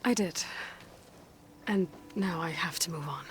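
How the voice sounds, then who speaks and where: A woman's voice: lowish voice, unhurried, close to an everyday voice. A woman playing a capable, matter-of-fact young huntress in a video game, speaking in an understated, dry way. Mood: resolved. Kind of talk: video game dialogue acting.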